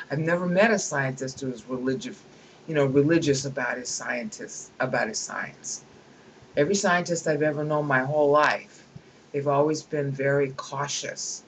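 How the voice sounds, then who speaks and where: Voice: mid-range voice, a bit bright, low-quality recording. A speaker sits at a home computer and reads a book aloud into the desk microphone, over faint background noise. The reading keeps breaking off into opinionated, digressive remarks full of chuckling asides. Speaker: reader commenting on a book.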